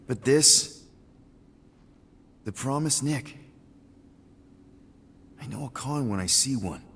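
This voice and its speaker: An actor playing a gentle, awkward man in a video game, speaking haltingly and earnestly to reassure. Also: medium pitch, expressive. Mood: awkward, apologetic. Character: gentle, awkward man consoling a grieving child. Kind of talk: naturalistic video game cutscene acting. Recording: long reverb, clear background noise.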